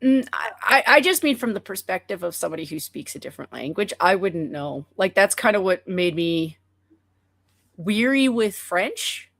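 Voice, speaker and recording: A woman's voice: medium pitch, sing-song, creaky, a bit bright, no room sound. Opinionated woman co-host, dry mic. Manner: opinionated, quick to laugh and joke. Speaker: podcast co-host. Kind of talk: casual chat.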